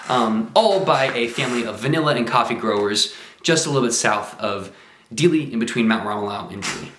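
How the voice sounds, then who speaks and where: A man's voice: mid-range voice, fairly bright tone. A man chatting to camera about his travels, eager and full of exclamations. His voice sits in a middling register and rings in a roomy, echoey space. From United States. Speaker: travel vlogger. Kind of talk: casual travel vlog talk to camera. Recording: roomy echo.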